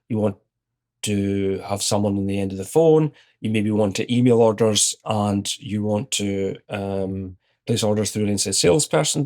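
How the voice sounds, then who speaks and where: Low-voiced Scottish man telling a story. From Scotland. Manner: relaxed anecdotal, retells his own exchanges. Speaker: executive guest. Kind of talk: conversational interview storytelling.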